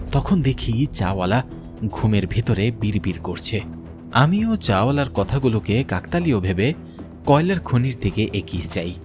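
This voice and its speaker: Male storyteller, lower register, first-person horror tale for a cartoon, prominent music underneath. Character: haunted government officer recounting his mine visit.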